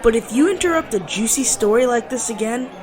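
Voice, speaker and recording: Woman acting a high, sharp-tongued gossipy wife. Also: expressive, brisk, natural voice. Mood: threatening. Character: Elaine, folksy gossip-hungry wife, bossy and threatening. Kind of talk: audio drama acting. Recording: busy crowd chatter behind.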